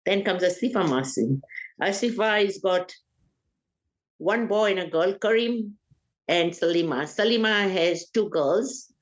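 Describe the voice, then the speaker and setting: An older woman from Uganda, a family elder being interviewed, talking in an unhurried, hesitant way with frequent pauses. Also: mid-range voice, lively intonation.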